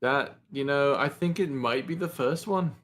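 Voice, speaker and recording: Male panel guest, mid-range voice, casual and halting, working out his answers as he speaks. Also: medium pitch, a little hiss or hum. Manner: hesitant, thinking aloud. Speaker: audio drama voice actor on a panel. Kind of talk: casual chat.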